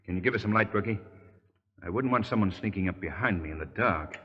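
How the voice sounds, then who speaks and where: An actor playing a calm, decisive gentleman detective in a 1930s radio drama, authoritative and patient, on a muffled, reverberant old recording. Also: deep voice, quick pace, husky. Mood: calm, firm.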